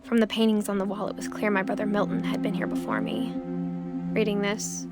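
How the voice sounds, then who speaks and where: A young American woman playing a wistful, curious teenage girl, narrating family memories in a soft, hushed, reflective way for a story game. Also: medium pitch, very animated, brisk, lightly acted. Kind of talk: intimate, reflective scripted narration. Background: game soundtrack music.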